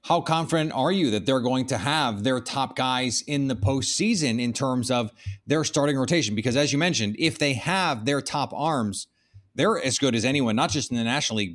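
A man's voice: mid-range voice, expressive, normal speed, fairly bright tone. Male sports podcast host. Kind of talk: sports news update and interview.

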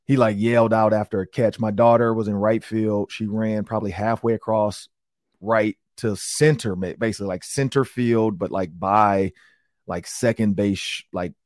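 Hoarse, low-voiced Minnesota sports host. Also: male voice, dry recording, clean studio sound. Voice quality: raspy. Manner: animated storytelling, fast bursts. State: slightly hoarse from yelling. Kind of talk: casual sports talk and ad reads.

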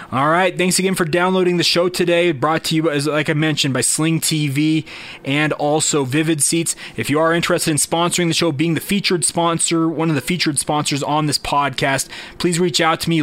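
A man's voice: a little high, fairly bright tone. A man hosting a sports podcast solo, talking informally and directly to listeners in conversational commentary.

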